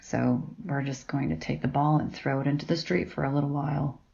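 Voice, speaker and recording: A woman's voice: low-pitched, fairly even intonation, fairly quick, gravelly, mellow, breathy edge, lo-fi sound, full character voice. A woman playing a blunt, sardonic, bossy schemer in an audio drama. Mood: bossy, determined.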